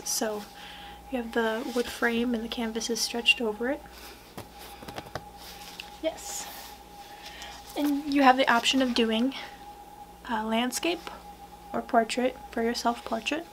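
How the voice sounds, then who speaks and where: A young woman speaks in a high, hushed voice at a very unhurried pace, as in an ASMR roleplay. Her gentle delivery often drops into a whisper. A noticeable low noise sits behind her. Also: fairly even intonation. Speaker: ASMR roleplayer playing a portrait painter. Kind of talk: soft-spoken ASMR roleplay. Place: small wood-panelled room indoors.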